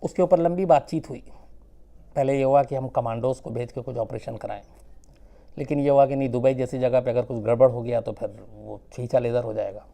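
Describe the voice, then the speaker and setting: A man telling a true-crime story without a script, conversational and suspenseful, with dramatic pauses. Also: medium pitch, fairly even intonation, creaky, dark tone.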